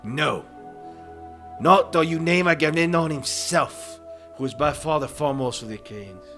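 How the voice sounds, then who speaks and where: A proud, defiant warrior hero acted by a man from an epic audiobook drama. His voice is fairly high for a man, and he delivers bold, indignant oratory. Music plays beneath him. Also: moderate pace. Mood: resolute. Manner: declamatory, forceful oratory.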